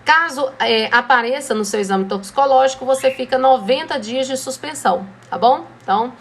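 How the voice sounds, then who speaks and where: A Brazilian woman teaching a driving school lesson, explaining rules in a relaxed, conversational way and often checking in with her students. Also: high-pitched, a little fast, slight background noise. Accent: Brazilian Portuguese. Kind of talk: classroom lesson.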